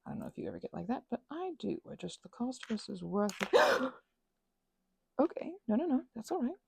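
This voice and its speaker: A woman with a heavily creaky, husky voice rambles casually in a playful ASMR style. Her pitch swings around as she interrupts herself with asides. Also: very animated, fairly quick, darkish tone. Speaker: ASMR and audio creator.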